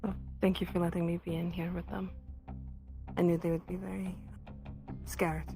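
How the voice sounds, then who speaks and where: A woman's voice: on the low side, flat delivery, normal speed, over music, close to an everyday voice. A woman playing an earnest, caring young woman in a fantasy roleplay, speaking with some hesitation. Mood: earnest, concerned.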